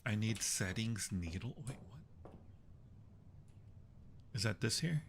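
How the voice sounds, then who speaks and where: A man with a lowish voice gives casual livestream commentary on a horror game. He talks slowly, often muttering tasks to himself, with clear noise behind him. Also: expressive.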